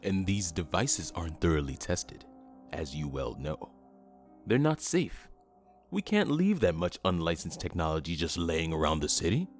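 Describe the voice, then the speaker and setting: A man playing an imperious, impatient, scheming official with a commanding, smooth-talking menace in an audio drama. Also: low voice, very animated, normal speed, soft background music. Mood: smugly placating. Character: imperious, impatient, scheming male government schemer with metal arm.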